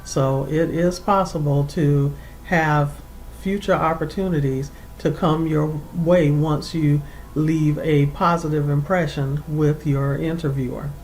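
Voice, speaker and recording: A career coach giving instructional advice in a formal, deliberate way with measured phrasing. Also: steady tone, a little mellow, rough recording. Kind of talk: instructional advice to camera, semi-scripted. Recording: clear background noise with low rumble.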